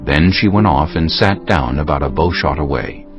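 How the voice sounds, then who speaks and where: A man's voice: extremely low pitch, moderate pace. A man narrating scripture as a dramatized audiobook, telling the story solemnly. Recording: slightly dull sound over music.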